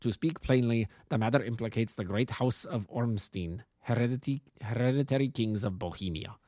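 An imperious, agitated king played by a male narrator speaks in a harsh, deep voice with a German accent. His delivery is grandiose and deliberate, the bearing of a gigantic storybook nobleman, recorded dry and close. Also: dark tone, no echo, lightly acted. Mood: formal, secretive.

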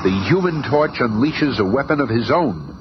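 A man's voice: mid-range voice, animated, normal speed. A man giving dramatic scripted narration in an old-time radio show. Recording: dull noisy old radio recording.

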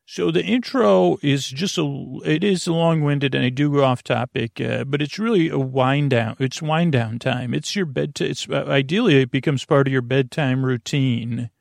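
A man hosting a bedtime podcast, talking in a meandering, halting way and often correcting himself as he winds listeners down for sleep. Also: mid-range voice, gravelly, no echo, studio quality. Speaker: podcast host. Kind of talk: rambling bedtime podcast intro.

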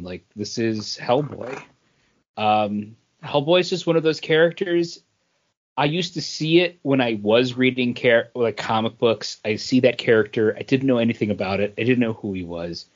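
A man's voice: medium pitch, normal speed. A man co-hosting a podcast, talking casually and informally with the enthusiasm of a fan. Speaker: podcast co-host. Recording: dull, band-limited podcast audio.

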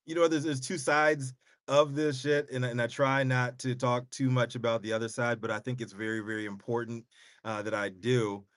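A man with a mid-pitched voice co-hosts a casual talk show. He is laid-back and rambles through his thoughts with the odd curse. The recording is dry and close. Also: flat delivery, normal speed, a bit bright, no echo. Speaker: co-host. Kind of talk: casual podcast chat.

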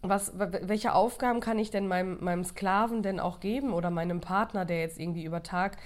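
A woman's voice: medium pitch, fairly even intonation, a little fast. A woman with a cold and short of breath, talking in a casual, rambling monologue with frequent restarts and fillers. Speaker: course creator and podcast host.

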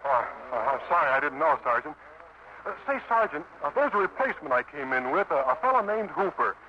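A polite, timid replacement soldier in a 1950s radio play. He speaks hesitantly and apologetically in a fairly high voice. The recording is dull with faint noise. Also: sing-song, a little fast. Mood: nervous, deferential.

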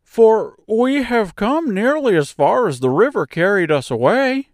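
A man's voice: high voice, sing-song, unhurried, no echo, clean studio sound, voice pushed up, full character voice. A man voicing a cheerful, reasoning scarecrow with an emphatic manner in a storybook reading. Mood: hopeful. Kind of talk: theatrical storybook character reading.

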